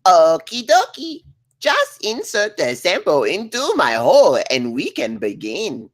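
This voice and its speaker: A woman playing a cheeky, deadpan ship's computer with a playful, officious manner in a comedy table read. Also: on the low side, measured pace, full character voice. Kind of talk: acted table read of a comedy film script.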